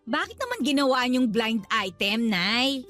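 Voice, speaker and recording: A woman's voice: a little high, big pitch swings, a little slow, fairly bright tone, faint music behind. An actress playing an insistent, dramatic grown daughter nagging her mother in a Filipino radio drama. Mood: impatient, prying.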